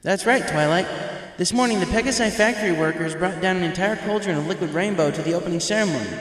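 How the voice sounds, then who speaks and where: Storybook baby dragon, young male, played by a man, pitched well up, eager enthusiastic sports-announcer delivery. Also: quick pace, fairly bright tone, heavy reverb, big-room sound, poor audio. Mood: upbeat announcing.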